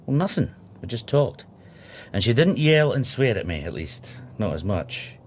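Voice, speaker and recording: An actor playing a detective's casual, guarded younger brother in a radio drama. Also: male voice, fairly low pitch, very animated, normal speed, a little hiss or hum. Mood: sheepish.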